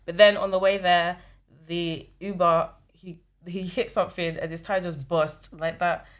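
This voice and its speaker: A young woman co-hosting a podcast, chatting casually and telling an animated story with acted-out quotes. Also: on the low side, unhurried. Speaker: podcast co-host.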